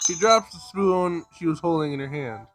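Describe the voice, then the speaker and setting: Male gaming host, fairly high-voiced, for informal visual novel narration with reactive asides. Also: moderate pace, slight background noise, rough recording. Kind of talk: casual visual novel read-aloud with commentary.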